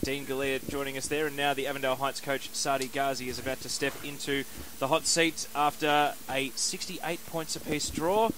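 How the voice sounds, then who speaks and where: Upbeat radio interviewer. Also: male voice, mid-range voice, steady tone, moderate pace, hardly any pauses, noisy background. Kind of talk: community radio sports interview.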